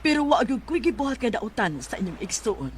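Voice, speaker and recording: A woman's voice: a little high, big pitch swings, a little fast. A woman with a Filipino Bisaya accent playing a remorseful, earnest and somewhat defensive aunt in a radio drama. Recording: faint background noise.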